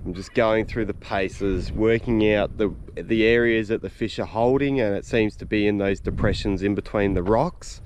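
A man in his thirties with an Australian accent, hosting a fishing show and chatting casually while wading a sand flat outdoors. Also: fairly low pitch, slight background noise. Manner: relaxed, enthusiastic, drawn-out praise. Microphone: camera-mounted mic, selfie and chest cam.

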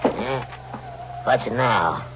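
A man's voice: mid-range voice, expressive, drawn-out words, highs cut off. Crotchety old man, noisy old radio. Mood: grumpy. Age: elderly. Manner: grumbling, curt, repeated "yeah". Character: crotchety old man, grumbling, suspicious uncle. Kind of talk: 1940s radio acting.

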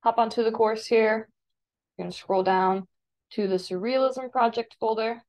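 An American woman teaching a step-by-step software tutorial in an informal, instructive way. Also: fairly high pitch, fairly even intonation, normal speed. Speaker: digital design teacher. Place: voice-over for a screen recording.

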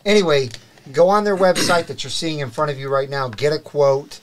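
A man's voice: a little high, moderate pace, a crowd in the background. A middle-aged man hosting a live car podcast, greeting viewers and reading sponsor plugs in an upbeat way. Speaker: show host. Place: studio set with desk mics. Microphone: desk mic on boom arm.

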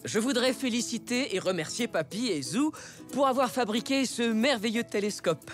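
An actor playing a cheerful, energetic zebra father in a French cartoon dub, enthusiastic and upbeat. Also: very high-pitched, moderate pace, over music. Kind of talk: cartoon dubbing.

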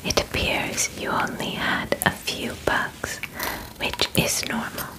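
Calm, clinical female alien examiner, played by a young woman: ASMR roleplay, whispered, very slow, soft and close-miked. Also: natural voice, rough recording. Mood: calm, soothing. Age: 20s. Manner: slow, soft, formal, measured instructions. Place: small bedroom, indoors. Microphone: close mic, just in front of her.

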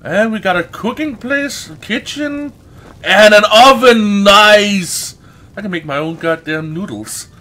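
A man's voice: high voice, pushed and bright. A man giving casual, exclamatory gameplay commentary with frequent swearing as a let's play host. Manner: exclamatory, often swearing, drawn-out words.

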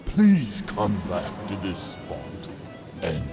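A man voicing a huge wounded sea dragon turtle in a radio play, deep and gravelly, speaking in solemn, pleading, halting phrases. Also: huge pitch swings, over music, full character voice.

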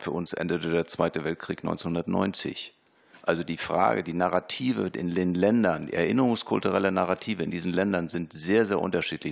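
A man answering questions as an expert before a parliamentary committee, measured and formal, often pausing with fillers and restarting sentences. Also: deep voice, creaky. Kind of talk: formal spoken testimony.

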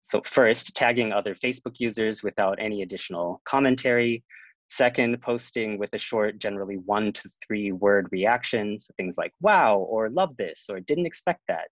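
A man presenting a prepared academic talk over a video call, in a measured reading manner. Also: on the low side, no room sound. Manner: measured, reads from prepared notes. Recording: dull, dry lo-fi sound.

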